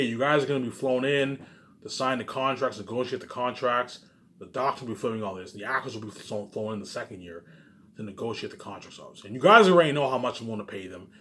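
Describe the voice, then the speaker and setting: Fast-talking, boastful man rambling. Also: lowish voice, bright, forceful tone, slight background noise. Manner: repetitive, boastful, emphatic, sprinkled with swearing. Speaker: vlogger. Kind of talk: rambling informal monologue.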